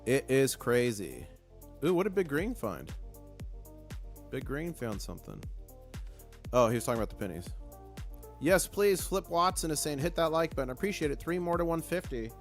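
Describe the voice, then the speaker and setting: A man hosting a casual live stream with a hoarse voice, chatty and excitable, reading viewer comments aloud. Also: on the high side, moderate pace, long pauses, soft background music.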